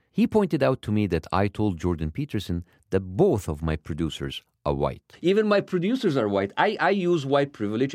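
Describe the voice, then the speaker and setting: Accented male podcast host, low voice, swings up high for emphasis, dry studio read. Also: no room sound. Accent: foreign-accented English. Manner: animated, wry, pitch jumping up for emphasis. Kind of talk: scripted podcast narration.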